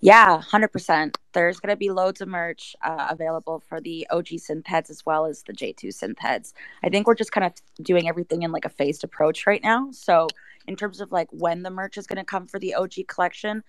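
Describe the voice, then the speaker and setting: Woman chatting casually on a panel, lowish creaky voice, really dry sound, explains stuff with lots of likes. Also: fairly quick, fairly bright tone, dry recording. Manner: conversational, explanatory, frequent "like" and "you know". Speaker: project team member, guest.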